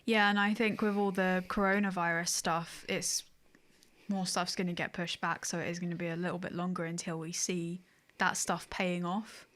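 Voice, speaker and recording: A woman's voice: on the low side, level tone, moderate pace, fairly bright tone. A woman co-hosting a podcast in a casual, conversational style, informal and agreeable. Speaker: podcast co-host.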